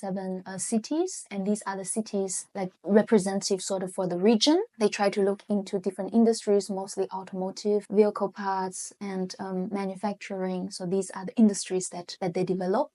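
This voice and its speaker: Chinese-accented woman, mellow restarting speech. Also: mid-range voice, flat delivery. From China. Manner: speaks in halting restarts with frequent um and uh. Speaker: guest, economics professor. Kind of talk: podcast interview.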